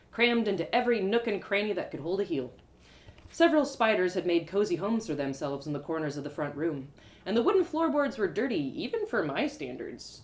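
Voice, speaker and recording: A woman reading a story aloud live as its storyteller, with expressive, dramatic and lyrical phrasing. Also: mid-range voice. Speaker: author and storyteller.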